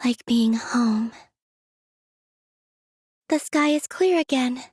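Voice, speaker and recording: A woman voicing a gentle, dreamy young moon maiden from a video game, speaking softly and airily in an unhurried, serene way. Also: on the high side, dry recording, studio quality. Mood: serene, tender. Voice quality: soft, breathy. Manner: unhurried, airy, serene, delicate. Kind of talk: scripted video game character voice lines.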